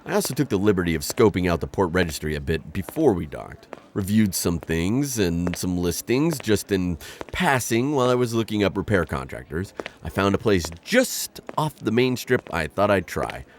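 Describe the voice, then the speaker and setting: A flustered insectoid bounty hunter. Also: male voice, low-pitched, big pitch swings, normal speed, a little hiss or hum. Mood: earnest. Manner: formal, flustered, rambling and overexplaining. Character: awkward insectoid male alien bounty hunter. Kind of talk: ASMR roleplay audio drama.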